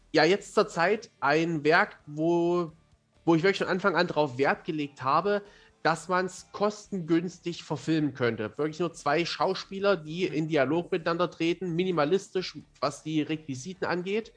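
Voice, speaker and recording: A man's voice: a little high, a little slow, a bit bright, soft background music. A man from eastern Germany talking casually as an author being interviewed on a podcast, thoughtful and self-deprecating.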